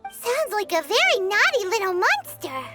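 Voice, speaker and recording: Tiny game fairy, extremely high squeaky pitch, swooping intonation, fussy, earnest, childlike. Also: female voice, big pitch swings, moderate pace, over loud music. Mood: curious, doubtful. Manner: refers to self in third person. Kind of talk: animated video game voice acting.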